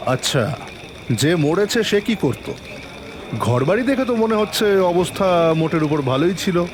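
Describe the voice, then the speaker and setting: A grave male police inspector acted by a man in a detective audio drama. He is terse and authoritative, probing with clipped questions in a fairly high voice over faint ambient noise. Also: animated, a little slow. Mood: probing, thoughtful.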